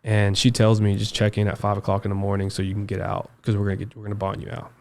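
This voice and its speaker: Low-voiced young American man telling his story. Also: monotone, vocal fry, darkish tone. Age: 20s. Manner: halting, emphatic, repeats himself, says dude and man often. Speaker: podcast guest. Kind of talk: personal storytelling in a casual interview.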